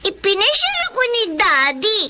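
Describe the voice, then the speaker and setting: A woman's voice: extremely high pitch, wildly swooping pitch, moderate pace, background noise, exaggerated voice. An actress with a Filipino accent playing a sweet, polite, happy little girl in a radio drama. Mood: joyful.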